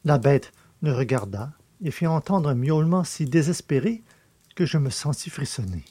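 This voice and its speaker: A man's voice: fairly high pitch, lively intonation, normal speed, warm tone, husky, no echo. A man reading literary fiction aloud as a scripted audiobook narration.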